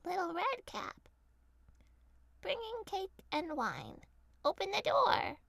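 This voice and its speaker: A woman's voice: very high voice, wildly swooping pitch, slow, creaky, a bit bright, pitched above the performer's own voice, exaggerated voice. A woman voicing an innocent, polite little girl in a fairy tale, sweet, earnest and naive. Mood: cheerful.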